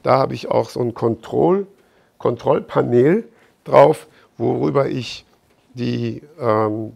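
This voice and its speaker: A middle-aged man explaining fitness equipment to camera in an informal, deliberate way, as an expert presenter. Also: mid-range voice, creaky, breathy edge. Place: bright gym showroom indoors with large windows.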